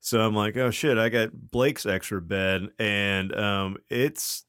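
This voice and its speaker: Low-voiced man, casual rambling storyteller. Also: steady tone, takes it slowly, fairly bright tone, clear, resonant tone, no room sound, clean studio sound. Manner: rambling, filler-heavy, laughing asides. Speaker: podcast co-host.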